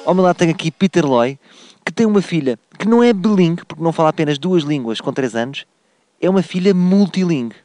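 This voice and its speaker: A man hosts a comic radio segment, firing off teasing jokes at a quick clip. His voice sits on the higher side and sounds dry and close, with faint music underneath. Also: animated, warm tone, dry recording. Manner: joking, teasing, quick asides. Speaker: radio host.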